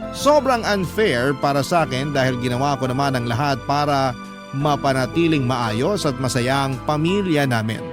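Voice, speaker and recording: A man's voice: mid-range voice, close to an everyday voice. A man reading a betrayed wife's first-person story as a radio host, in sorrowful, dramatic scripted narration. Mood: hurt, disbelieving. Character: betrayed wife telling her story, hurt and sorrowful. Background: background music.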